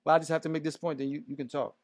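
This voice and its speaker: An American man with a high voice, recorded dry without room sound. He debates in a casual but heated way, emphatic and challenging, pushing his point through repeated rhetorical questions. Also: flat delivery, rapid-fire, no echo. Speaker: podcast panelist.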